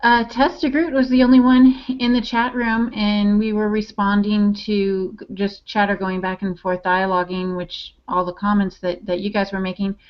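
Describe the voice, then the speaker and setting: A woman's voice: mid-range voice, flat delivery, moderate pace, few pauses. A woman co-hosting a podcast, chatting casually as she relays comments and tips. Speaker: podcast co-host relaying chat-room comments. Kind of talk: casual chat. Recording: dull lo-fi online-call audio.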